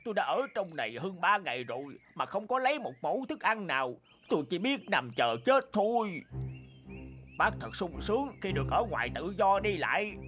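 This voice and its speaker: Sly, wheedling cartoon tiger. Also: male voice, high voice, moderate pace. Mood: self-pitying. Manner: wheedling, flattering, boastful. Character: sly scheming male tiger, trapped, boastful. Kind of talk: cartoon voice acting. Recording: music bed under the voice.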